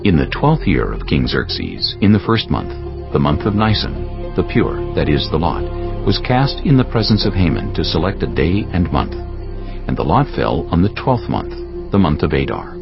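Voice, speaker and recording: A male narrator reads a dramatized Bible story with formal, deliberate phrasing. His deep voice moves expressively up and down. The recording is a little muffled, with music running underneath. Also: big pitch swings, vocal fry. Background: prominent background music.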